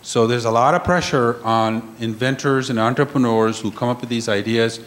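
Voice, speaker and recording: A man's voice: medium pitch, steady tone, normal speed. A man giving an emphatic conference lecture, repeating key points and checking in with the audience. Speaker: conference panel speaker. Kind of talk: lecture on intellectual property. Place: conference room.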